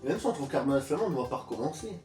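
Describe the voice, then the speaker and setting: A man's voice: mid-range voice, expressive, rapid-fire, darkish tone, reverberant, distant mic, faint music behind. A man with a stuffy nose from a cold, co-hosting a casual food tasting chat, joking and grumbling. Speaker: co-host of a food tasting channel.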